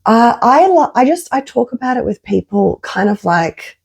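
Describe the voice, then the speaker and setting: A woman therapist with a croaky, flu-affected voice, talking candidly and expressively in a podcast conversation. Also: fairly high pitch, normal speed. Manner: expressive, emphatic, acts out quoted phrases. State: has a flu.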